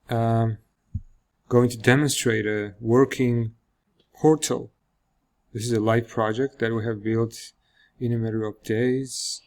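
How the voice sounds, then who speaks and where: A man with a Georgian accent, a native Georgian speaker, walking viewers through a web app in a screen-recorded demo, explaining with pauses and fillers. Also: medium pitch, darkish tone. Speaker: software developer demoing a product.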